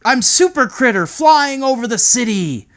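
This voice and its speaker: Man as a little boy critter, high-pitched, loud, excited, playful, storybook make-believe. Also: normal speed, exaggerated voice. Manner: excited, exclamatory. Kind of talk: children's storybook character voice.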